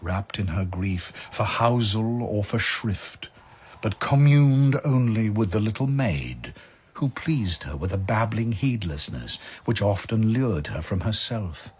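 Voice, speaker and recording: A man narrating dramatic verse from a script in a solemn, measured manner. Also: on the low side, lively intonation, a little mellow, slightly rough.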